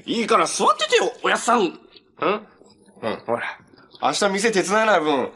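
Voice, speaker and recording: An upbeat young hero from a TV superhero drama, with a bright, high voice that moves in lively swings. He comes across as earnest, boyish and kind-hearted. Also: normal speed, breathy edge, heavily acted. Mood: cheerful, casual. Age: young adult. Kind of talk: live-action TV superhero drama acting.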